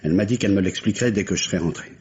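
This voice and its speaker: A man's voice: low-pitched, rapid-fire, darkish tone, a little hiss or hum, lightly acted. An actor playing an anxious, devoted husband, a businessman, urgent and hesitant, in the manner of old French radio mystery drama. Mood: distraught, urgent. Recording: muffled, indistinct old radio recording.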